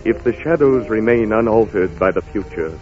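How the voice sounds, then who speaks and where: A man acting a stern, solemn spirit in an old radio play. His declamatory voice sits at a middle pitch and swings widely as he commands and scolds. The recording is dull, with faint music underneath. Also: lively intonation, normal speed, natural voice. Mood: grave. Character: stern, commanding male Ghost of Christmas Present.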